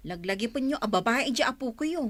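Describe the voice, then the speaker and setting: An actress playing an exasperated grown daughter, reproachful and pleading, in a radio drama. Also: female voice, fairly high pitch, lively intonation, fast.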